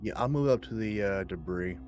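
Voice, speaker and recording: Low-voiced man playing a tabletop game. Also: animated, brisk, darkish tone, slight background noise. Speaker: player. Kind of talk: casual tabletop game chat.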